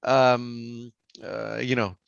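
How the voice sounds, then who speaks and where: A man with a Greek accent walks through currency charts and economic numbers, hesitating often with fillers. His voice sits at a middle pitch. It comes over dull, lo-fi call audio in a dry space. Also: fairly even intonation, very slow, vocal fry, dry recording. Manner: hesitant, many fillers, reads data aloud. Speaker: market analyst. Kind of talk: live webinar market analysis.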